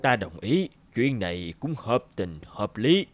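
A man's voice: lowish voice, very animated, unhurried, faint music behind. A man voicing a commanding, evasive old king of the gods in a cartoon fairy tale. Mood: imperious.

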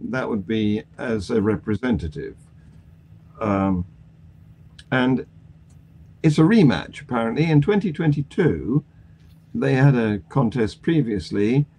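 A man hosting a news discussion on a video call, with a halting delivery. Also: medium pitch, warm tone. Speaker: panel show host. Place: indoor home room on a video call.

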